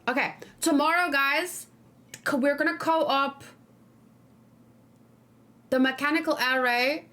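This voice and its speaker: A woman doing a casual let's play, excitable and thinking aloud, often repeating her phrases as she goes. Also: high voice, slow pace. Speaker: game streamer, host. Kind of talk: casual let's play chat and live game commentary.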